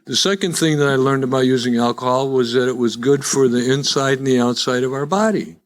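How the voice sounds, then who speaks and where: A man's voice: mid-range voice, fairly quick. Older Chicago man telling stories live. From Chicago, USA. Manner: wry, folksy, conversational storytelling. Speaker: guest speaker at a recovery meeting. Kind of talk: live personal testimony with jokes. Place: live in-person meeting before an audience.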